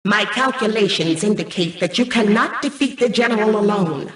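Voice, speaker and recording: A woman voicing a cold, arrogant mainframe intelligence in a video game, speaking in a formal, measured, commanding way. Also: medium pitch, lightly acted. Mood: calm, imperious. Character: cold, arrogant female mainframe artificial intelligence, calculating and imperious. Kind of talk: scripted video game voice acting.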